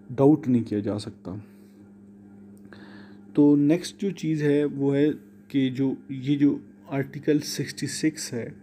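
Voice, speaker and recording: A man with a Pakistani accent lecturing on law, reading legal text and explaining it deliberately, switching between two languages. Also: mid-range voice, warm tone, a little hiss or hum.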